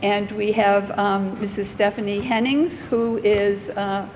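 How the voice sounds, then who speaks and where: A woman with a medium-pitched voice welcomes a gathered group and makes introductions as a school leader. Her voice rings in a large reverberant room, with faint noise behind it. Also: level tone, slow, a little mellow. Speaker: school principal hosting a parent orientation. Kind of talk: welcome speech to an audience with introductions. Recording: echoey hall, noticeable background noise.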